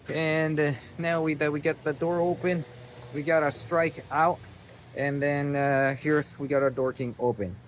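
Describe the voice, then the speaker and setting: A man giving a casual, explanatory walkthrough of installation work, speaking informally and directly to viewers as an installer. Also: fairly high pitch. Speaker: installer narrating job site video. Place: work site, partly outdoors. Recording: noisy job-site ambience, vehicle noise.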